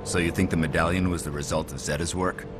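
A man's voice: deep voice, fairly even intonation, fast, music in the background, natural voice. A man playing a tough, laconic American spy agent in a video game, terse and businesslike. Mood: terse, composed.

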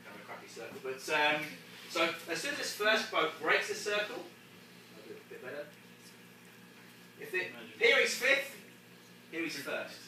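A man's voice: fairly high pitch, big pitch swings, moderate pace, pauses often, bright, forceful tone, far from the mic. A man coaching a group of sailors through a race debrief, explaining casually with many restarts and laughs. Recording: echoey room, from afar, faint noise.

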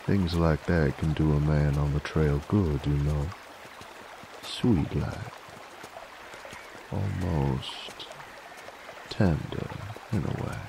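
Man acting a very deep, gravelly cowboy werewolf. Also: noisy background, exaggerated voice. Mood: wistful, easygoing. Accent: American Southern or Western drawl. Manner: folksy, drawling, wry, unhurried. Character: laid-back, teasing, dominant male werewolf bounty hunter. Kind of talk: roleplay ASMR monologue.

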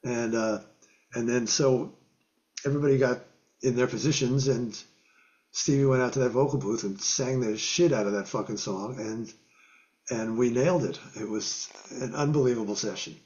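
Older male guest, lively raconteur, mid pitch, slightly muffled webcam call. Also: normal speed. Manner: animated storyteller, acts out quotes and guitar sounds, laughs often. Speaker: guest musician. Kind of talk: storytelling in a casual interview. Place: home music room with guitars on the walls. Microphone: video call webcam.

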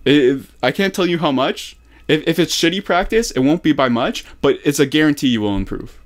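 A man's voice: on the high side, fairly quick. Young man, slangy and encouraging. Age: young adult. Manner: encouraging, slangy, emphatic repetition. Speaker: gaming coach. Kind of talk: casual coaching chat on a phone call. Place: small room at home, indoors. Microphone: desktop condenser mic on desk.

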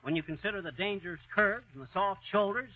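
An actor playing a brash, boastful comic in a theatrical, pompous 1930s radio comedy style. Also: male voice, sits high, expressive, fast talker. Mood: smug. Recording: muffled 1930s radio recording.

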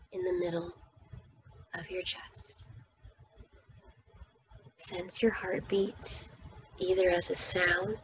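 A woman leading a guided meditation as its teacher, speaking soothingly and often dropping toward a breathy whisper. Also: fairly low pitch, lo-fi sound, slight background noise.

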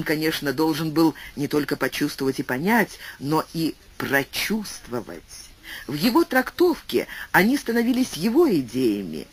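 A man's voice, likely synthetic, reading an essay aloud for an audiobook in an even, bookish way, speaking quotation marks aloud word for word. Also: a little high, slightly rough. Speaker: audiobook narrator.

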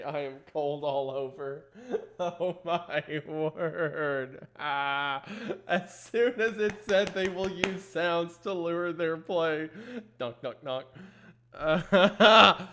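A man's voice: fairly high pitch, fairly bright tone. A man giving casual, rambling live reaction commentary in a jokey, uneasy way. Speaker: streamer reacting to horror videos.

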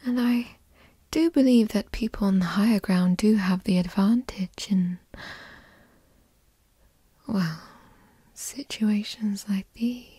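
Woman acting a slow, breathy whispering teasing girlfriend. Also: medium pitch, dark tone, no room sound. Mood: smug, playful.

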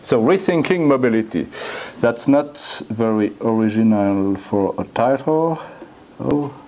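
A middle-aged man with a French accent, giving an academic lecture at a lectern in a university room, often halting and repeating words as he looks for the phrasing. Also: medium pitch, animated, mellow, rough recording. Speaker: guest lecturer.

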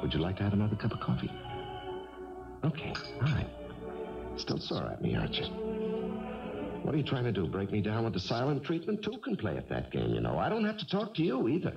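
An actor playing a stubborn, defensive husband, argumentative and evasive, in a 1970s radio drama. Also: male voice, fairly low pitch, wildly swooping pitch, a little fast, gravelly, long pauses, over loud music, heavily acted. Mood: exasperated. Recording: dull vintage radio broadcast recording.

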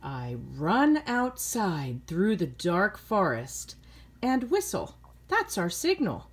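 A woman's voice: medium pitch, wildly swooping pitch, slow, slightly rough. A middle-aged woman reading a children's picture book aloud expressively, with simple phrasing for young listeners.

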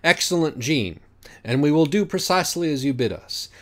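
A man's voice: a little high, expressive, moderate pace, voice pushed up, close to an everyday voice. A man voicing a grave, pompous judge of souls, speaking formally and rhetorically in an audiobook. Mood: ceremonious. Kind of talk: mock-classical dialogue in an audiobook.

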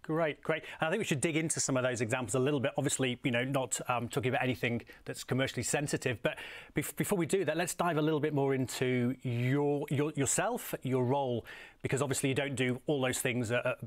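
A man's voice: mid-range voice, fast talker. A man hosting a business interview session, welcoming the guest and posing questions, often repeating words as he starts a question. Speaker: host, interviewer. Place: dark studio.

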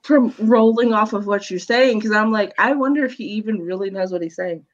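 A woman's voice: mid-range voice, a little fast. A woman from Hawaii with an American accent, chatting casually as a podcast panelist over a video call. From born in Hawaii, raised in the mainland US. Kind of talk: casual group chat. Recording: dull, band-limited video call audio.

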